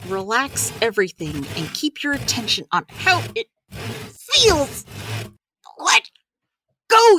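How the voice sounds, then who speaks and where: A practical woman healer in an audio drama, her voice bright and very expressive. She explains things at an unhurried pace, brisk in temper and given to wry, exasperated asides. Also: on the high side, full character voice. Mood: straining, coaching. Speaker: healer giving therapy advice. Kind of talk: audio drama dialogue, conversational.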